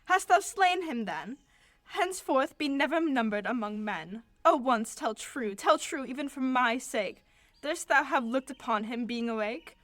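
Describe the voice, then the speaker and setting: A woman's voice: high-pitched, expressive, unhurried, fairly bright tone, no echo. A teenage woman playing a fiery, distraught young lover, delivering verse dramatically in an amateur Shakespeare radio play. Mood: angry, scornful. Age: late teens.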